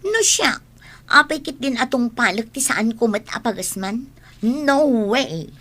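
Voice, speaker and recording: A woman's voice: on the high side, lively intonation, unhurried, sharp tone, full character voice. A woman playing an envious, argumentative young classmate in a radio drama, challenging and complaining as she talks. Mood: challenging, scornful.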